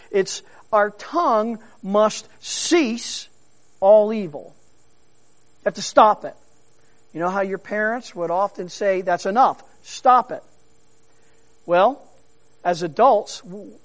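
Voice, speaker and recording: A man preaching a sermon, earnest and unhurried, with frequent fillers and repeated words. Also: sits high. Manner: preaching with emphatic rises. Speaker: pastor.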